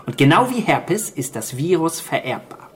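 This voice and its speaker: A man's voice: a little high, very animated, normal speed, a bit bright, slight background noise. A man playing a pragmatic survivor in a radio drama, with a dry, matter-of-fact delivery. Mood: sober. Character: pragmatic, well-informed island survivor. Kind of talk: naturalistic German radio drama acting.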